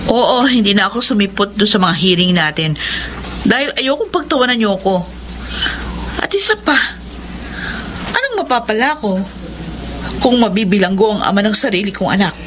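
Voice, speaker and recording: A woman acting a proud young Filipino mother-to-be in a radio soap, bitter and cutting. Her mid-pitched lines swing sharply up and down against a noisy ambient backdrop. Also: expressive, normal speed, pauses often, fairly bright tone, natural voice. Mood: scornful, defensive.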